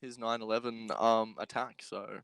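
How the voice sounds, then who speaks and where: A man's voice: on the low side, flat delivery, moderate pace, vocal fry, a bit bright, clean tone, no echo. A man co-hosting a casual podcast, joking around with friends and repeating phrases for emphasis. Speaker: podcast co-host. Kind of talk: casual joking group chat. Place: remote group podcast during quarantine.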